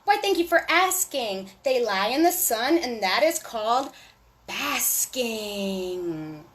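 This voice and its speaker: A woman hosting a storytime for young children, reading aloud in a sing-song, deliberate way with little asides to her listeners. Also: fairly high pitch, very animated, a bit bright, a little hiss or hum.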